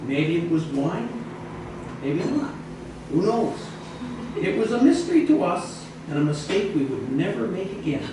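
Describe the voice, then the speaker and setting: Man, storyteller, expressive live reading, heavy room echo, clear background noise. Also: fairly high pitch, measured pace. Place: echoey indoor bistro. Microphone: distant microphone in the room. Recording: roomy, echoey, noisy, distant mic.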